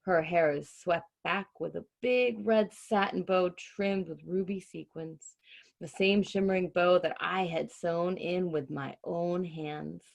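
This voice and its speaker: A woman's voice: fairly low pitch, fairly even intonation, slow, gravelly. A woman voicing a wonderstruck young girl who helps sew circus costumes, in an earnest storybook delivery. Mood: awestruck.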